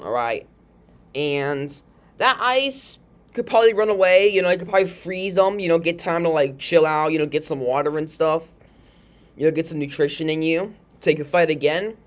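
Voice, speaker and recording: Casual, rambling video host with mid-range voice. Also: medium pitch. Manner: rambling, informal, frequently tags statements with a questioning check. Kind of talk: casual commentary on fictional character matchups.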